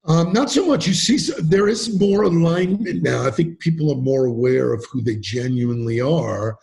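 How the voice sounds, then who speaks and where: Man, podcast guest, gravelly slightly high voice, emphatic, acts out quotes. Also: normal speed. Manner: animated, emphatic storyteller who acts out quotes. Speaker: podcast guest, sales trainer. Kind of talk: casual interview chat.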